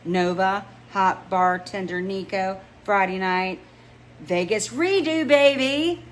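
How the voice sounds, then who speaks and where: A woman's voice: medium pitch, slow pace, pitched above the performer's own voice, lightly acted. A woman voicing a sassy, teasing female best friend in a romance audiobook, with emphatic delivery. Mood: teasing, insistent. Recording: noticeable background noise.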